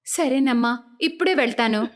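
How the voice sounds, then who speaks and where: A woman's voice: sits high, moderate pace, natural voice. A woman voicing an obedient, earnest young stepdaughter in a cartoon story, with a meek manner.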